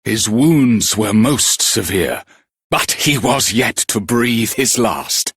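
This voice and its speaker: A grave elder of a fantasy castle in a video game. His husky voice sits fairly low. He speaks slowly and wistfully, in an old-fashioned storytelling manner. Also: expressive, fairly bright tone, dry recording, clean studio sound, heavily acted. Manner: measured, archaic storytelling. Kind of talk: video game character acting.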